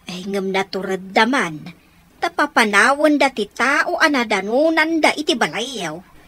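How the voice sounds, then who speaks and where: Lively, higher-pitched outspoken woman. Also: a little slow. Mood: teasing, curious. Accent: Ilocano. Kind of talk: radio drama acting.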